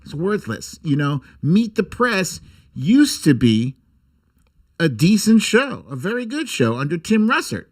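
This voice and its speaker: A man hosting a comic political livestream, speaking with sarcastic, irreverent bite and theatrical, drawn-out emphasis. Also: high voice, sing-song. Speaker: host of a political humour livestream. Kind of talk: comic political commentary and mock storytime reading.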